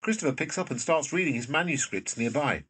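A man's voice: fairly high pitch, expressive, a little fast, fairly bright tone, husky. A man reading scripted stage directions as the narrator of a drama.

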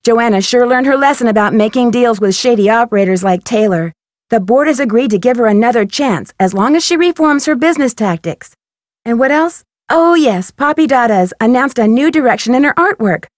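A woman's voice: fairly high pitch, lively intonation, fairly quick, dry recording, clean studio sound, lightly acted. A woman playing a curious, poised and polite young female detective in a video game, speaking in an articulate, inquisitive way. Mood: bright, reflective. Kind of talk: video game voice acting, scripted narration and dialogue.

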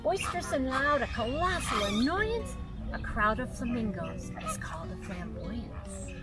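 An older woman reads a rhyming children's book aloud outdoors, her fairly high voice swooping up and down in a bouncy, sing-song way. She sounds like a friendly guide at storytime, picked up by a camera a few steps away. Loud music plays underneath her. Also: lively intonation, measured pace. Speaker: zoo guide and author reading aloud. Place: outdoors by a pond in a zoo.

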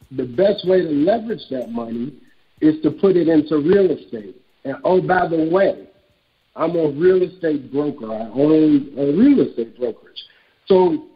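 A man in his thirties from Chicago, an author and real estate broker, speaking candidly and reflectively in a casual interview. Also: on the high side. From Chicago, USA. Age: 30s. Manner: candid, reflective, speeds up when quoting himself. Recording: dull lo-fi audio with faint music.

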